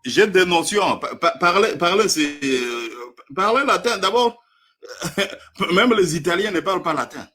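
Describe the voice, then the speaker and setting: A man's voice: high-pitched, animated, unhurried, sharp tone, a little hiss or hum. A man from Congo with a Congolese French accent, debating in a live online stream, hesitant and stammering with frequent chuckles.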